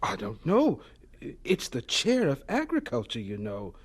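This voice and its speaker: Radio drama scientist: earnest, polite, hesitant, slightly high husky voice, hugely expressive intonation. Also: male voice, measured pace, full character voice. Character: earnest, humble, respectful young male scientist with a dream.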